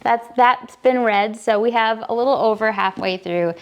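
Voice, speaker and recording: A woman's voice: on the high side, normal speed, clear, resonant tone. Enthusiastic woman chatting about her reading. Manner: enthusiastic, gushing about books; digressive, thinking aloud. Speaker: book video host. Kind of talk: casual chatty reading vlog.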